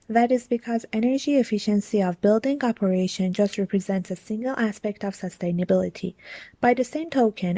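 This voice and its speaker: A woman reading exam practice passages aloud in a steady, careful way. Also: medium pitch, no room sound. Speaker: model reader for exam practice.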